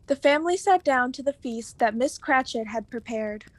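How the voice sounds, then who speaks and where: A teenage girl reads scripted storytelling narration for a radio drama. Her voice is fairly high. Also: expressive, moderate pace, no echo.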